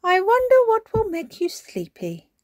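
A woman voicing a kindly, patient elderly grandmother pig in a children's storybook, coaxing and gently indulgent. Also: extremely high pitch, huge pitch swings, a little slow, no room sound, clean studio sound, voice pushed up, heavily acted. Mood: coaxing, fond.

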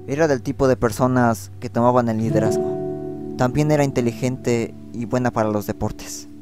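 A man's voice: medium pitch, steady tone, moderate pace, music in the background, natural voice. A man playing a reflective, awkward teenage schoolboy in an amateur manga dub, speaking hesitantly. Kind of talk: amateur manga fandub narration and dialogue.

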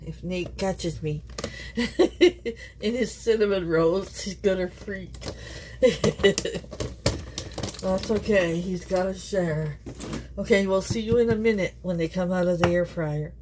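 Woman from Canada, home cook vlogger, unhurried delivery, chatty and laughing, home kitchen with faint sizzling. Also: fairly low pitch, background noise. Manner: chatty, laughs often, talks to viewers. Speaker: home cook, channel host. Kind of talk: casual cooking vlog.

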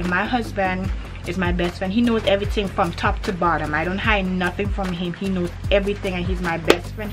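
A young Grenadian woman talks straight to the camera, her voice sitting a little low for a woman. She is animated and emphatic, circling back to repeat her points. Music plays clearly underneath her speech. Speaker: vlogger. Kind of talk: casual to-camera chat. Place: indoors in front of a hanging cloth backdrop. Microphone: camera in front at head-and-shoulders framing.

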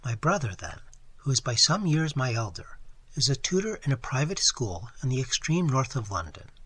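A man voicing a distressed young lady in a read-aloud story, speaking in a low, beseeching murmur. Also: very animated, normal speed, pushed and bright, slightly rough, heavily acted. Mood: earnest. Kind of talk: audiobook character reading.

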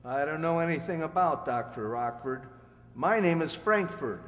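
A man's voice: fairly high pitch, expressive, moderate pace, natural voice. A man acting the part of an earnest middle-aged doctor in hiding, in an old-time radio drama, reading on stage. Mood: defensive. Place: stage in a community hall. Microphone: stand microphone. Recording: echoey hall stage, distant reverberant sound.

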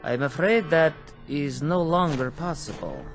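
Radio drama casting: a measured, menacing ex-prisoner, slow and quiet, lively pitch, music underneath. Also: male voice. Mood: menacing calm. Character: calm vengeful former male camp prisoner. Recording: radio play with music behind.

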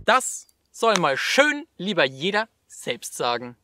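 A man's voice: fairly high pitch, big pitch swings, takes it slowly, music in the background. A man of 27 presenting scripted lines to camera in a wry, ironic way. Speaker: video presenter; teacher.

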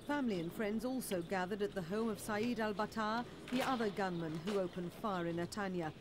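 A woman delivering scripted news narration as a voice-over reporter, in a formal, measured way. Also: mid-range voice. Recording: noisy track with crowd sound. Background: crowd and street ambience under the voice-over.

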